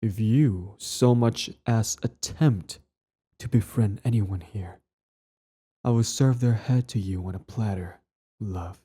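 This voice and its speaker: A man playing a cold, menacing young villain prince in an ASMR roleplay, with a taunting, condescending drawl and soft threats that sink toward a whisper. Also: lowish voice, slow pace. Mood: calm, threatening. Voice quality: husky, breathy, dropping into whispers.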